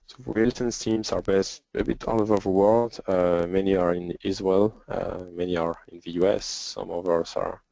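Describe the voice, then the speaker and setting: A man with a French accent answering questions as a developer in an informal webinar, speaking hesitantly with frequent pauses. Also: low voice, steady tone, dark tone, no room sound. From France. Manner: hesitant, halting, with frequent pauses and throat clearing. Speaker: software developer and webinar presenter. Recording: dull lo-fi webinar audio.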